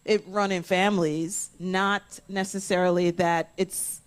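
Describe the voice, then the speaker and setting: A woman's voice: fairly low pitch, steady tone, a little slow. A woman interviewer talking conversationally, reasoning aloud step by step in a reflective manner.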